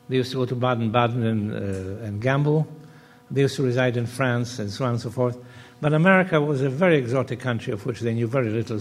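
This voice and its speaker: An older man from Poland gives a measured academic lecture at a mid-range pitch. He pauses often and restarts his phrases. His voice carries a long reverb, as if from a large hall. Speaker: panel speaker.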